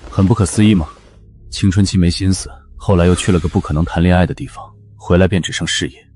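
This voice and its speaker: A man's voice: sits low, steady tone, fast talker, a little mellow. A man voicing a cool, aloof character with dry, unbothered confidence in an audio drama. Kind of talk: dubbed audio drama dialogue.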